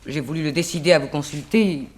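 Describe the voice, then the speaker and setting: An actress playing a pretty, worried young wife who pleads politely and hesitantly in a 1960s French radio serial. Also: female voice, sits low, fast talker, husky. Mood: plaintive, worried.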